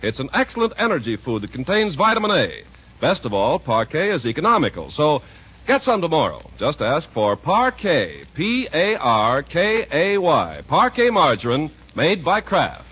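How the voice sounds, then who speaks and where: A man's voice: huge pitch swings, normal speed, fairly bright tone, husky. A man working as a 1940s radio announcer, reading scripted ads and narration with polished salesmanship. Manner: polished sales-pitch delivery. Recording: muffled 1940s radio recording.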